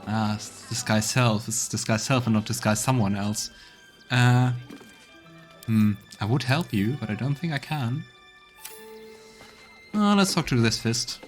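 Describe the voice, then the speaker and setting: A man's voice: medium pitch, unhurried, pauses often. A man giving casual let's play commentary, thinking aloud as he goes. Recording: faint game music behind.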